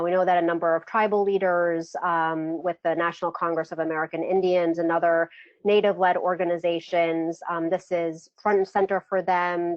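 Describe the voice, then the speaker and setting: A woman with a slightly low voice answers as an expert in a webinar Q&A. She builds long explanatory sentences carefully and with some hesitation. The sound is very dry but dulled, like a lo-fi web call. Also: no echo. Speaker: guest expert presenting a census webinar. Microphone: web conference call. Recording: muffled, lo-fi web call audio.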